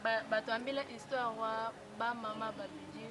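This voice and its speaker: A woman reciting in a local language, soft and measured, with brief replies. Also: a little high, fairly even intonation, background noise.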